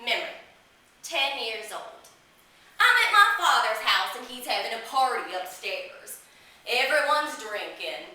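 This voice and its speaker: A woman performing a dramatic stage monologue as a woman reliving girlhood memories, childlike and candid, with emphatic delivery, drawn-out words and pauses. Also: sits high, bright, forceful tone, exaggerated voice. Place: small theatre stage. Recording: echoey theatre, recorded from a distance.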